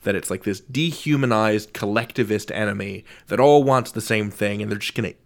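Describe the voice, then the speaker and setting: A man's voice: lowish voice, brisk, vocal fry. A man hosting an interview in a casual, analytical style, wry and emphatic, stretching out phrases and dropping in asides. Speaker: podcast host, interviewer.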